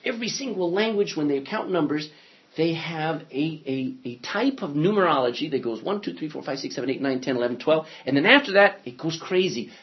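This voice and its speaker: Brief: man, Bible class teacher, animated and joking, higher voice with lots of swing, lo-fi recording. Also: fairly quick. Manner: animated, joking, thinking aloud. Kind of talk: classroom lecture.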